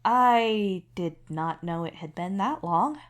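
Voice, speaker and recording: A woman's voice: mid-range voice, slow pace, natural voice. A woman voicing a sleep-deprived young man, stubborn and devoted, with a tired, reassuring manner. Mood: defensive.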